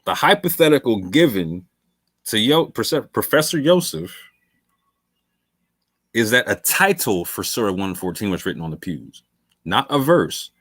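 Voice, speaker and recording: Emphatic low-voiced male stream host. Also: sing-song, a little slow, pauses often, a bit bright, dry recording, clean studio sound. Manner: emphatic, repeats phrases, calls listeners "fam". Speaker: host of a debate talk show. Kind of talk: late-night livestream commentary.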